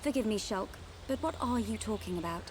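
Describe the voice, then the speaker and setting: A woman's voice: mid-range voice, animated, brisk, darkish tone, slight background noise, lightly acted. A British actress playing a proud, guarded young noblewoman in a video game, with a haughty, formal manner. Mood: indignant. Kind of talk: dramatic video game acting.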